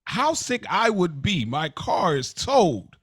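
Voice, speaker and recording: A man co-hosting a podcast, talking in a relaxed, rambling way with many restarts. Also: sits high, takes it slowly, husky, no echo, studio quality. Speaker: podcast co-host. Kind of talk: casual chat.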